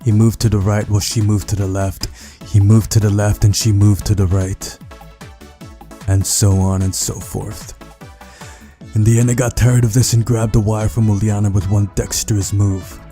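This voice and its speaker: Male narrator, low pitch, dark mellow tone, measured storytelling, visual novel reading. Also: level tone, faint music behind. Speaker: playthrough narrator.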